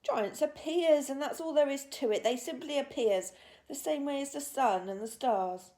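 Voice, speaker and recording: A woman's voice: sits high, normal speed, mellow, pitched above the performer's own voice, natural voice. A woman voicing a big, friendly old male giant from a children's storybook, speaking emphatically in mangled grammar with invented words. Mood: amused, assertive. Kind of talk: children's storybook character reading.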